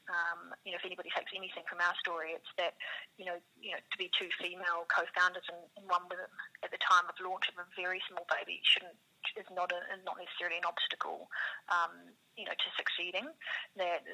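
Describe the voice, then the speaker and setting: A woman's voice: lowish voice, bright, forceful tone, low-quality recording. A woman with a New Zealand accent, answering interview questions as a business co-founder in a thoughtful, circling way full of "you know".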